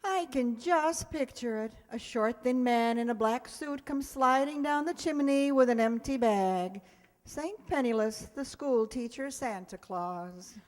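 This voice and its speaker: An actress as a wry schoolteacher heroine of a radio comedy, high-pitched and dryly sardonic. She turns her jokes on herself, and her voice carries through a reverberant hall. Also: unhurried, a little mellow, close to an everyday voice. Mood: dry, self-mocking. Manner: dry wisecracking, sardonic. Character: wry, lonely, self-mocking single schoolteacher woman. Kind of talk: old-time radio comedy acting. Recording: reverberant hall stage recording.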